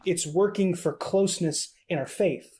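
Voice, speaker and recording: Male preacher, measured phrase-by-phrase delivery for translation, slightly high pitch. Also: mellow. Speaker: evangelist preacher. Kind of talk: sermon delivered line by line for an interpreter.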